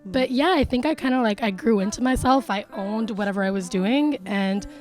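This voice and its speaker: A woman in her twenties with a raspy voice, a musician talking sincerely and chattily in an interview. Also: on the high side, a little fast, over music. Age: 20s. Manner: sincere, chatty, laughs often. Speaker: musician guest. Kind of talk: casual interview talk.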